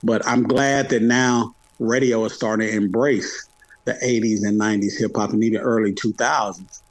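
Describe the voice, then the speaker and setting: A man's voice: lowish voice, fairly even intonation, normal speed, no room sound, low-quality recording. A middle-aged man chatting casually in an interview, repeating phrases for emphasis. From Atlanta, United States. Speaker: podcast guest, hip hop podcaster.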